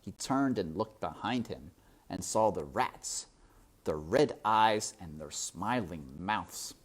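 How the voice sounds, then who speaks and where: A woman reading a story aloud with dramatic, suspenseful narration that is sometimes hushed. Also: a bass voice, lively intonation, slow, husky. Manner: suspenseful, hushed at times, theatrical. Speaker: storybook reader.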